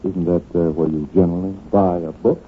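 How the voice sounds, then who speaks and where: An actor playing a self-assured, sardonic detective doctor in a 1940s radio drama. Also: male voice, low-pitched, steady tone, fairly quick, lightly acted. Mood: teasing. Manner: sardonic, teasing. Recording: tinny, hissy old radio broadcast.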